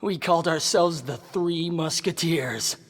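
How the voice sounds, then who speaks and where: An actor playing a brash, bullying young American soldier who swaggers and goads in folksy slang in a radio drama. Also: male voice, a little high, unhurried, no room sound, studio quality. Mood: boastful.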